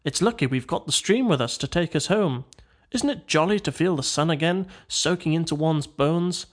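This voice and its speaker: A man's voice: fairly high pitch, lively intonation, normal speed. A man voicing a poetic water rat in a storybook, murmuring in a dreamy, languid way. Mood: tired, contented. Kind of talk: storybook character dialogue.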